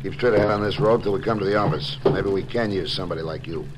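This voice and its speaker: An actor playing a tough, suspicious drilling boss in a radio drama, gruff and curt. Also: male voice, low-pitched, fast talker, lightly acted. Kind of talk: 1950s radio drama acting. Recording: noisy old radio broadcast recording.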